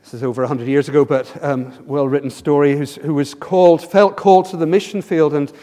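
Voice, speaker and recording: English guy preaching, high voice, earnest, keeps asking the room questions, long hall echo. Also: steady tone, fairly quick, warm tone. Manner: earnest, rhetorical questions, repeats words when starting phrases. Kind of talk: sermon. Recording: reverberant hall.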